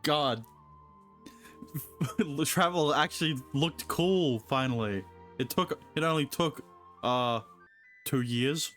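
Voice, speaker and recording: A woman's voice: low voice, slow, long pauses, soft background music. A woman giving casual live commentary as she streams a game.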